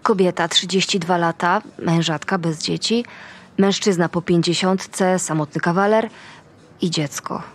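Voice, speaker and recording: An actress playing a young, deferential police subordinate giving matter-of-fact reports in an audio drama. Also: female voice, on the low side, fairly even intonation, a little slow. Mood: dutiful.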